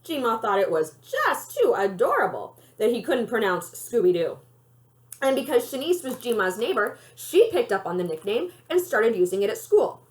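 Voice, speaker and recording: A woman reading a children's novel aloud in an expressive storytelling style. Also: fairly high pitch, moderate pace. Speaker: library read-aloud reader.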